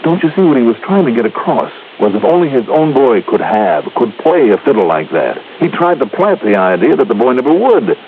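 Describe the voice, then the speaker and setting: An actor playing a brisk, sharp American insurance investigator in a vintage radio detective drama, slangy and punchy. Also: male voice, mid-range voice, sing-song. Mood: confident, urgent. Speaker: investigator and narrator. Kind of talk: 1940s-50s radio detective drama, hard-boiled narration. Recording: muffled, hissy old radio recording.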